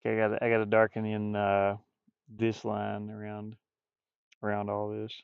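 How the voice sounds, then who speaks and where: A man chatting casually on a livestream while drawing, rambling and muttering to himself, with bursts of loudness. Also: lowish voice, monotone, moderate pace, creaky, smooth, dry recording, narrow, tinny audio. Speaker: streamer drawing digital art.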